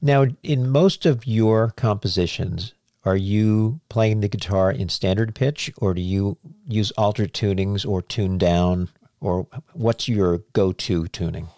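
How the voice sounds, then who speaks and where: A man's voice: lowish voice, unhurried, warm tone, no echo, clean studio sound. An older man interviewing a musician, chatting in long, anecdotal questions. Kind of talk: casual interview.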